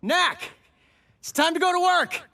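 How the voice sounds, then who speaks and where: An actor playing an eager, brave young boy in a video game, with an earnest, excitable manner. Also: extremely high pitch, a little slow, gravelly, no room sound, studio quality, full character voice. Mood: excited. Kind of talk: animated video game voice acting.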